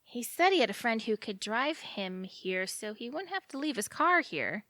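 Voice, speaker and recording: A woman's voice: on the high side, sing-song, normal speed, sharp tone, no echo. A woman acting in audio theatre as an anxious, lovelorn young woman who rambles and questions herself fretfully. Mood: worried, hurt.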